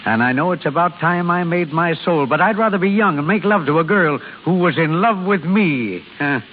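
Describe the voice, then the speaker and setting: A man's voice: a little high, animated, moderate pace, lightly acted. Wry older father played by an actor, tinny radio. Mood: wistful, reflective. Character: wry, wistful older father, gently advising son-in-law. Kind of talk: 1930s-40s radio drama acting. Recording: hissy, tinny old radio broadcast.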